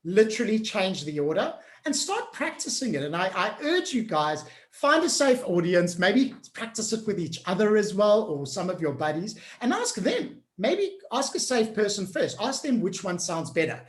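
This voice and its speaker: A woman's voice: mid-range voice, very animated, normal speed, few pauses, echoey room. A woman hosting an online workshop on change management. She coaches the group directly in an upbeat, encouraging way and keeps checking in with them.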